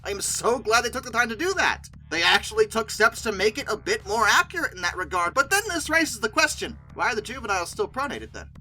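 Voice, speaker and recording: A host giving casual, opinionated commentary in an informal, chatty way, expressive and given to exasperated mock disbelief. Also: mid-range voice, fast, hardly any pauses, soft background music, low-quality recording. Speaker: video host and narrator.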